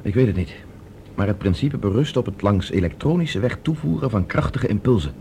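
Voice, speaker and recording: Low-voiced, measured expedition leader. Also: male voice, animated, gravelly, mellow. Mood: explanatory. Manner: measured, explanatory, decisive. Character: calm, reasoning male leader of a space expedition, scientifically minded. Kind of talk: Dutch science-fiction radio drama acting. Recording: noisy old radio drama recording.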